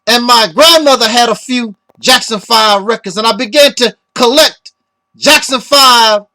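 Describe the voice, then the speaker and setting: A middle-aged man from the United States giving a reflective personal monologue in deliberate, halting phrases, his voice slightly hoarse. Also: very high-pitched, pushed and bright. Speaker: speaker giving a personal tribute.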